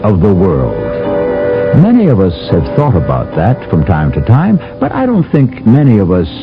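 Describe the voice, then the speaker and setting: A man's voice: on the low side, huge pitch swings, moderate pace. Reflective male radio drama host. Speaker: host narrator. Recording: dull lo-fi old radio broadcast with music behind.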